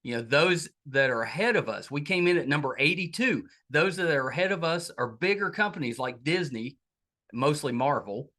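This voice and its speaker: Bright-voiced American man hosting a podcast. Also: a little high, animated, moderate pace. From United States. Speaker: podcast host. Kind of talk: casual podcast outro and appeal to listeners.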